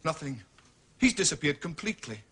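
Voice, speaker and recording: Scottish police inspector, blunt and dogged, bright forceful voice, kinda raspy. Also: male voice, a little high, very animated, fairly quick, exaggerated voice. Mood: frustrated. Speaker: actor in detective drama. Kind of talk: British period detective drama acting.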